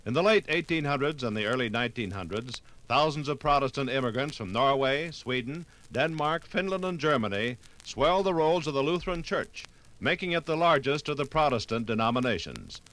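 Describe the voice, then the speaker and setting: An American man narrating a scripted 1950s radio documentary in a formal, measured way. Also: mid-range voice, lively intonation, a bit bright, rough recording.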